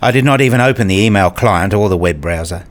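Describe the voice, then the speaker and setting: Man acting a male researcher, low voice, earnest, dry sarcasm, formal deadpan delivery, radio play narration and dialogue. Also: quick pace, close to an everyday voice. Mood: reflective.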